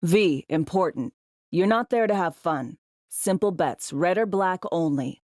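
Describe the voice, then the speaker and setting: A tough, sardonic spy handler from a cyberpunk video game. Her voice sits fairly low in a very dry studio sound. She talks in clipped slang, bossy and teasing, like someone used to running operations. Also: animated, a little slow, a little mellow, no room sound. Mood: focused, tense. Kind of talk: video game voice acting, briefings and radio guidance.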